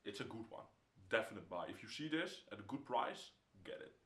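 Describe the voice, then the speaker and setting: Young Dutch-accented guy, lowish voice, relaxed home whiskey review, camera mic in a roomy-sounding room. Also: brisk. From Netherlands. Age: young adult. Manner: relaxed, repeats words, drops to a whisper while tasting. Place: indoor home room, seated in armchair.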